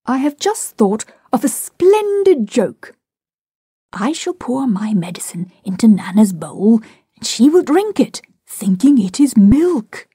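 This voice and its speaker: A sulky, blustering father from a children's storybook, acted out by a woman reader. The delivery swings widely in pitch, indignant and full of self-pity, with drawn-out, slow phrasing. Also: big pitch swings, dark tone, no room sound, studio quality. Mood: coaxing. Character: petulant, cowardly, blustering father of young children. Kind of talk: dramatised storybook reading.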